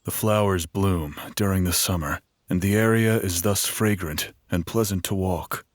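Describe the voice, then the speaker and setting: Man acting a deep-voiced wandering minstrel guide. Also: no echo, studio quality, close to an everyday voice. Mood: informative. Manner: measured, explanatory. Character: knowledgeable, wary male wandering minstrel guide. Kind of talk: fantasy audio drama acting.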